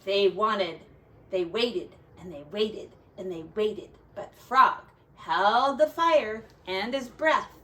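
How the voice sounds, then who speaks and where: A woman reading a children's folk tale aloud in an expressive, dramatic and deliberate way, like a teacher. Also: mid-range voice. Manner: dramatic, deliberate, with quiet asides to young listeners.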